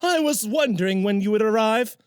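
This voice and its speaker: A man's voice: high-pitched, animated, brisk, clear, resonant tone, no room sound, studio quality. A man playing a pompous, menacing noble sorcerer villain in a fantasy audio drama, gloating and taunting theatrically.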